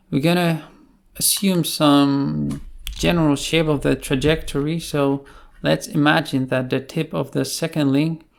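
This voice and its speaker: A man giving an unscripted tutorial explanation in a halting way, with many filled pauses. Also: fairly high pitch, vocal fry. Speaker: programming tutorial presenter.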